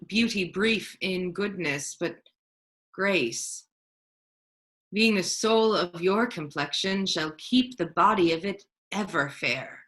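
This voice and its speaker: A woman playing a disguised duke posing as a grave, counseling friar, in measured, formal Shakespearean verse. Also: fairly low pitch, a bit bright. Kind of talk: staged reading of Shakespeare.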